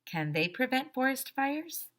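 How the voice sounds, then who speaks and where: A woman's voice: high-pitched, big pitch swings, unhurried, fairly bright tone, voice pushed up, heavily acted. A woman voicing an insistent, questioning young schoolboy in a children's storybook. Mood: insistent, curious. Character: pestering, literal-minded young schoolboy narrator of storybook. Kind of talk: children's storybook read-aloud.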